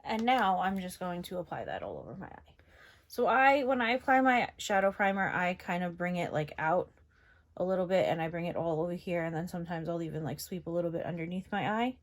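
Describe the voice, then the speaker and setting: A woman's voice: fairly low pitch, lively intonation, fairly quick. A young woman from New York with a general northeastern American accent, chatting casually and rambling as she tests makeup on camera. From New York, USA. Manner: chatty, rambling, lots of fillers. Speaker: beauty vlogger. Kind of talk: casual makeup tutorial chat.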